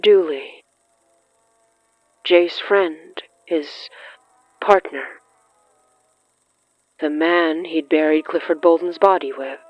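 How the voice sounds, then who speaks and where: A narrator reading dramatic horror fiction in a measured, halting way with weighty pauses. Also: mid-range voice, no room sound, lo-fi sound. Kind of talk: slow, dramatic scripted narration.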